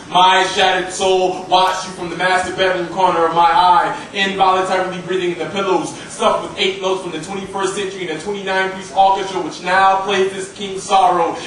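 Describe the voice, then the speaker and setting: A man's voice: sits high, a bit bright. A young man performing spoken-word slam poetry in an impassioned, dramatic way with emphatic phrasing. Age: young adult. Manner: impassioned, dramatic delivery with emphatic repetition and alliteration. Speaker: slam poet. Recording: roomy, noisy live recording from far off.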